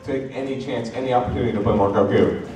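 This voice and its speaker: A man speaking as a convention panelist, telling a story casually and haltingly to a live audience. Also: mid-range voice. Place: large hotel convention panel room. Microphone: far audience camera. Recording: echoey hall, distant noisy handheld camera audio.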